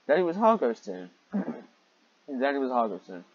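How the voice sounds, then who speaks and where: A man with a mid-range voice reads fan fiction aloud and comments on it with weary sarcasm. He clears his throat often between phrases. The recording is dull and slightly muffled, like a cheap microphone. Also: mid-range voice, big pitch swings, unhurried, a little mellow, slight background noise. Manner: exasperated, sarcastic, sighing asides. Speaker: host and reader of fan fiction.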